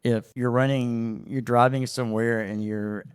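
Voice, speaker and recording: A man, a radio host speaking in a steady, earnest and upbeat way in a casual interview. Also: medium pitch, a little slow, clean tone, dry recording, studio quality.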